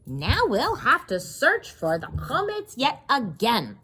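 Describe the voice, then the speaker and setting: Woman acting out shrill, indignant alarmed storybook villager. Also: huge pitch swings, slow pace, bright, forceful tone, husky, heavily acted. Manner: shrieking, indignant exclamations. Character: alarmed, scolding villagers crying out about a mouse. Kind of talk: storybook character voices.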